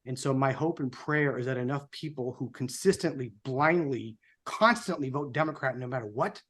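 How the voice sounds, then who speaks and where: Sixty yr old american guy doing political talk, mid pitch, makes his points hard, rhetorical. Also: moderate pace, a bit bright. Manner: emphatic, opinionated, rhetorical. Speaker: co-host of a political talk show. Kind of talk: live political commentary.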